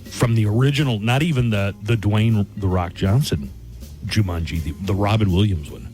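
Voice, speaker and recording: A man's voice: lowish voice, moderate pace, darkish tone, faint music behind. A middle-aged man hosting a morning radio show, chatting casually with wry, dry jokes. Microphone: studio microphone.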